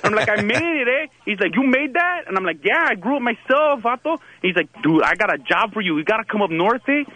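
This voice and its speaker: A man's voice: high-pitched, sing-song, fast, sharp tone, exaggerated voice. A man playing a boastful slangy stoner teacher. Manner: rambling slangy bravado, tags lines with "eh". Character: swaggering young male stoner pot-growing teacher. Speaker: prank caller character.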